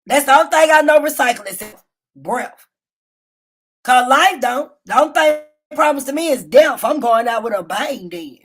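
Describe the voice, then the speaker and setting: High-voiced woman livestream host, brash, cussing, storytelling. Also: normal speed. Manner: loud, profane, animated storytelling. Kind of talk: casual livestream commentary. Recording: low-quality phone stream.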